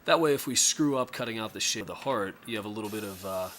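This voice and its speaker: A man hosting a casual woodworking tutorial in his workshop, relaxed and self-deprecating. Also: fairly low pitch, quick pace, a little hiss or hum. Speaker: woodworking host. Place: home woodshop.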